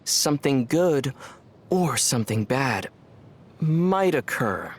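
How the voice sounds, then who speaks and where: A man voicing a calm, thoughtful young traveler hero in a video game, speaking in a measured way. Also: medium pitch. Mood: mock-mystic.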